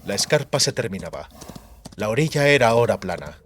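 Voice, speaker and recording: A man's voice: fairly low pitch, sing-song, a little fast, a bit bright, a little hiss or hum. A man narrating fantasy fiction as a dramatic, scripted audiobook.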